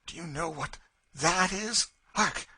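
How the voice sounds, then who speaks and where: A man voicing a cold, mocking woman who taunts her cruel master, in a slow, sneering, eerie way. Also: sits high, pushed and bright, husky, no echo, pitched above the performer's own voice, exaggerated voice. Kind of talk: audiobook character voice.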